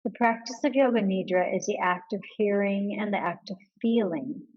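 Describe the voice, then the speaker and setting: Calm woman doing a guided meditation, average pitch, measured w pauses, kinda muffled lo-fi audio. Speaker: yoga nidra meditation guide.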